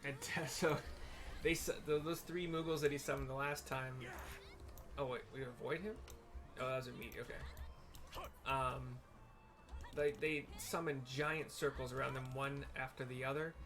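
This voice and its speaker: A man's voice: medium pitch, steady tone, moderate pace, long pauses. A man giving casual, informal live commentary on his own video game playthrough, thinking aloud as he goes. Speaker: gamer narrating own playthrough.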